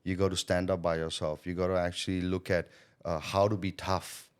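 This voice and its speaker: A man's voice: sits low, flat delivery, brisk, clear, resonant tone. A middle-aged man from Thailand, a podcast guest, telling his story in a reflective, chatty way, often checking in with "you know" and "right?". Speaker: podcast guest, sales consultant. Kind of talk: casual interview storytelling.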